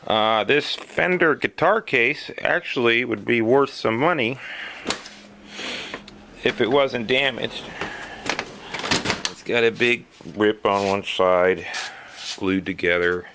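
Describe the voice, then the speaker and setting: Slow-talking man with a bright, forceful voice. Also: mid-range voice, a little hiss or hum. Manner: plainspoken, matter-of-fact item listing with pauses. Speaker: vlogger showing storage auction finds. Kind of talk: casual unscripted narration to camera.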